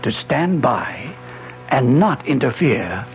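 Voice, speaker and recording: An intense old professor. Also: male voice, mid-range voice, big pitch swings, slow pace. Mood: urgent. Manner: insistent, lecturing. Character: retired older male physics professor, obsessive and intense. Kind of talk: 1970s radio drama acting. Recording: muffled old radio broadcast with music.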